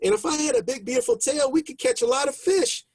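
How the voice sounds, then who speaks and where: A man's voice: very high voice, fast talker, a little mellow, no room sound. A man voicing a sly little trickster coyote with a coaxing manner in an oral animal folktale. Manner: coaxing, falsely reassuring.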